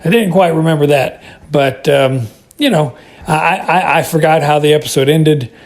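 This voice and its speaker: A man's voice: on the high side, normal speed. A middle-aged or older American man giving a casual spoken review, hesitating and repeating words as he rambles. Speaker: listener calling in with feedback.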